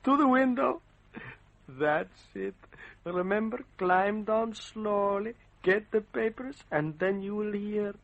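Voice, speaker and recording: Radio drama henchman: high-pitched, foreign, deferential, coaxing his trained beast in stilted phrases. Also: slow, vocal fry, a bit bright, dull audio, exaggerated voice. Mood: coaxing, eager. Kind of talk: old-time radio drama acting.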